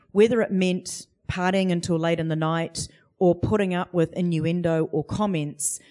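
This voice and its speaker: A woman's voice: fairly low pitch, a little mellow. A woman, a tech executive, talking earnestly and fluently about her career on a live panel. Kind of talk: personal reflection on a live panel. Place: live panel event before an audience.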